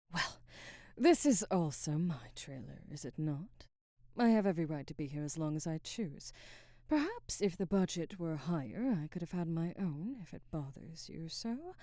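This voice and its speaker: A woman playing a haughty, guarded middle-aged Hollywood actress in an ASMR roleplay, speaking formally in a soft, breathy voice that often nears a whisper. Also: on the low side, no echo, studio quality. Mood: aloof, wry. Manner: formal, measured, aloof phrasing. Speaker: ASMR voice actor. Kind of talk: ASMR roleplay, scripted dramatic monologue.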